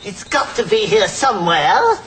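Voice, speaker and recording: A woman's voice: medium pitch, sing-song, moderate pace, a little hiss or hum, lightly acted, poor audio. A woman voicing an earnest, fussy male rabbit who serves the Queen in a children's cartoon musical. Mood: determined. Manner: polite, fussy, earnest, breaks into song. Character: eager helpful male rabbit, the Queen's servant. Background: loud music.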